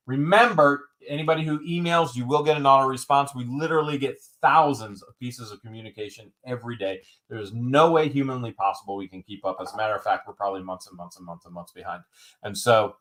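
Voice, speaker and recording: A man's voice: medium pitch, a bit bright. A man hosting a live-stream auction, calling out bids and reading viewer comments with dry jokes. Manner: wisecracking, repeats bids, drawls some phrases.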